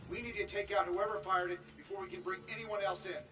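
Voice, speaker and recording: An actor playing a tense, dutiful military lieutenant in a video game, reporting urgently and tersely. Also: male voice, high-pitched, fairly even intonation, quick pace, natural voice. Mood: rattled. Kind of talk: video game cutscene acting. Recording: distant, very loud music and vehicles.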